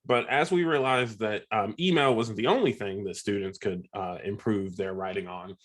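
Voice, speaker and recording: Stuffy-voiced man, halting presenter. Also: lowish voice. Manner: halting, many ums and uhs. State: stuffy with a sore throat. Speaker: software ambassador presenting a webinar. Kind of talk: casual presentation.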